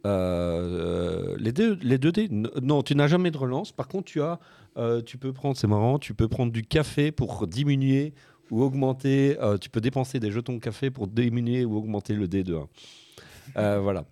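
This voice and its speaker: A man in his forties explaining board games on a casual podcast, in a hesitant way with many filled pauses. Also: medium pitch, animated, mellow, dry recording. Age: 40s. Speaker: board game podcast panelist.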